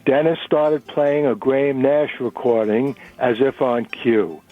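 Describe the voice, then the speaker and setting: A man's voice: mid-range voice, steady tone, unhurried, soft background music. A man narrating a novel in a dramatic reading. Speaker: narrator and reader. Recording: narrow-band radio recording.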